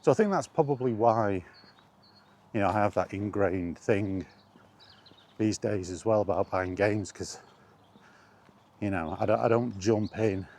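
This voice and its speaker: A man's voice: lowish voice, unhurried, long pauses, a little mellow. A middle-aged British man casually rambling through personal memories, as in an informal vlog. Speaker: vlogger.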